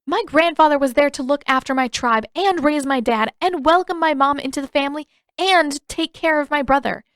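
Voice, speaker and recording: Female reader as headstrong teen heroine for fantasy storybook: high-pitched, exasperated, emphatic, quick to argue, dry sound. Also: fairly bright tone, no echo. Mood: firm, emotional. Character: fiery, indignant, defiant teenage girl waterbender. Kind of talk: audiobook-style fan fiction character reading.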